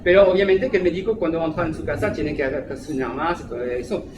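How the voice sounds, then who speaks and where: Brief: French-accented man, hesitant restaurant manager, slightly high voice, echoey noisy room. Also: lively intonation, fast talker. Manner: hesitant, many fillers. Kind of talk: interview. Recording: echoey room, background noise.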